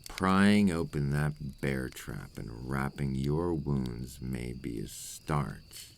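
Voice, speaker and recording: Very deep, gravelly vampire played by a man. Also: animated, a little hiss or hum. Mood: regretful, measured. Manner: slow, intimate, formal old-fashioned phrasing. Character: possessive, remorseful male vampire captor, courtly and menacing. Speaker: ASMR roleplay voice actor. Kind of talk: ASMR roleplay acting, scripted monologue.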